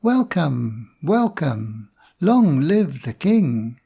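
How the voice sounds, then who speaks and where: A man acting jubilant subjects, drawn-out raised cries. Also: on the high side, big pitch swings, vocal fry, dark tone, slightly rough, heavily acted. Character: jubilant crowd of subjects hailing their king.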